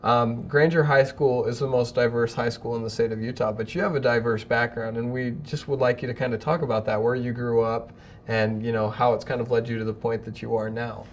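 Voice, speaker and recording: A man's voice: mid-range voice, fairly even intonation, a little fast, a little hiss or hum. Upbeat male show host. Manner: upbeat, friendly questioning. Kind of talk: interview hosting. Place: school office.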